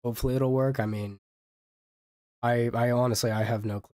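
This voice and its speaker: A man streaming games, chatting casually in a halting way, half muttering to himself. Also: medium pitch, fairly even intonation, a little mellow, no room sound, studio quality. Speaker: live streamer. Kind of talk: casual gaming livestream chat.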